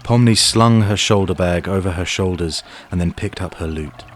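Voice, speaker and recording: A man's voice: sits low, moderate pace. A man narrating a scripted fantasy story for an audio drama.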